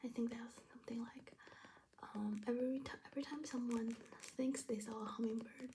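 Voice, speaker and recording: A young woman from China, whispering softly as she flips through her travel journal, with many ums and restarts. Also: on the high side, fairly even intonation, fairly quick, a little hiss or hum. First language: Chinese. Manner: soft whisper with fillers and restarts.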